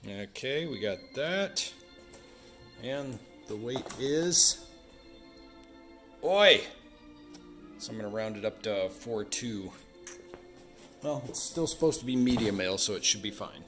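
A man's voice: medium pitch, lively intonation, slow, pauses often, fairly bright tone, soft background music. Middle-aged man thinking aloud. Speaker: vlogger running a home resale business. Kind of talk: casual vlog narration, thinking aloud.

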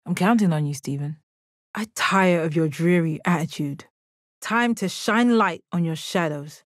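Video game role: stoic alien gem warrior woman played by an actress. Lowish register, deadpan, confident, kind, dry close recording. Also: no room sound, natural voice. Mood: encouraging, confident, then stern, dry. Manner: measured, deadpan, formal phrasing. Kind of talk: video game character voice lines.

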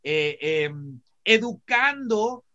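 High, bright Mexican man on video call. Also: sing-song, very slow. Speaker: talk show panelist. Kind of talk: opinionated panel commentary. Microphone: computer mic on video call. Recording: dull video call audio.

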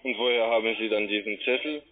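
Halting non-native salesman on a muffled phone line. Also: lowish voice, fairly even intonation. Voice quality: non-native German with grammatical slips. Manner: halting, repeats himself. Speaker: call centre agent pitching online trading. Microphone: telephone.

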